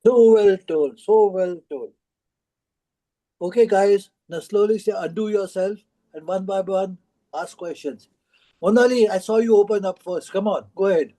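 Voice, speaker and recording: A man's voice: high-pitched, unhurried, mellow, poor audio. A man hosting an informal group discussion, prompting people to speak in an encouraging way. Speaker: host of book club discussion. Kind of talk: informal moderating of a group call.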